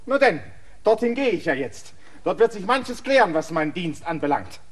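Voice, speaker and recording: An actor playing a stubborn land surveyor in a radio play, insistent and emphatic. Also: male voice, high voice, sing-song, normal speed.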